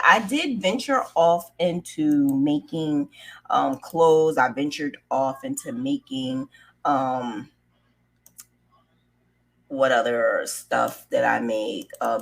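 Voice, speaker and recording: A woman with a fairly low voice talks like a vlogger sharing her own story. Her delivery is candid and conversational, and she often repeats a phrase to drive it home. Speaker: crochet craft vlogger and storyteller. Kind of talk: casual personal storytelling.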